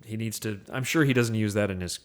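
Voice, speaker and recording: A man with a slightly low voice talks casually about movies as a podcast co-host. He goes along haltingly, often repeating a word while he looks for the next. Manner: halting, repeats words as he searches. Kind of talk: casual film discussion.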